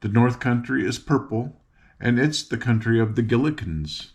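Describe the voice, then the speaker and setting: A man's voice: mid-range voice, lively intonation, normal speed, darkish tone, close to an everyday voice. An older man voicing a cheerful young farm girl who patiently explains things as she guides her friends. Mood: chatty.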